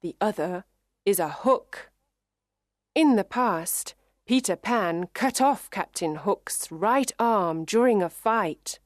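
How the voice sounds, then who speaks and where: A woman reading a children's story aloud in clear, measured narration for English learners. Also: medium pitch, expressive, no echo, clean studio sound.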